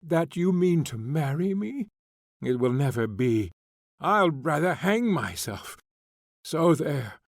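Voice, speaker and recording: A man's voice: sing-song, slow pace, no room sound, clean studio sound, exaggerated voice. A male reader voicing a bitter young woman convict who is drunk, furious and mocking. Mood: defiant. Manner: scornful, mocking, shrill.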